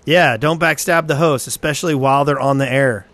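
A man's voice: mid-range voice, fairly quick, fairly bright tone. A man chatting casually as a podcast co-host. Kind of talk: casual chat.

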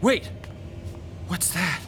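A man's voice: very slow, a bit bright, faint music behind. An actor playing a timid comic book shop owner in a radio drama, a nervous man with an earnest manner, trying to sound defiant. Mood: alarmed. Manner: earnest, hesitant.

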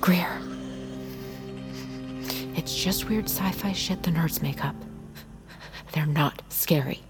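Weary grown woman, reserved, slightly low, naturalistic drama, faint music. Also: takes it slowly. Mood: reassuring. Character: weary, reserved woman, older than her Gen Z companion. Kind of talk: naturalistic audio drama acting.

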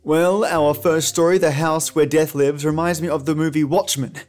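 A man's voice: a little high, fairly even intonation, normal speed, darkish tone. A man hosting a podcast, delivering scripted narration and commentary like a theatrical storyteller. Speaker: podcast host. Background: background music with guitar.

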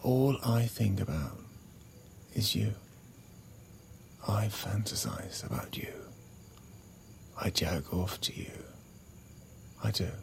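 An actor playing an insecure, pleading husband in an intimate audio drama, halting and stammering, sometimes breathy. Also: male voice, lowish voice, lively intonation, slight background noise, heavily acted. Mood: hurt, pleading. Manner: halting, stammering, repeats himself. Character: pleading, insecure, flustered husband in a failing marriage. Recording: music under the dialogue.

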